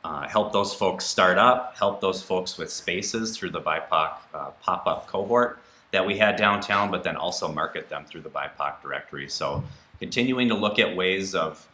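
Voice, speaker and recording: Very deep-voiced man, bright tone. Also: moderate pace, roomy sound. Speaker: city councilor and candidate. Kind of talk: candidate forum answer. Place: indoor TV studio.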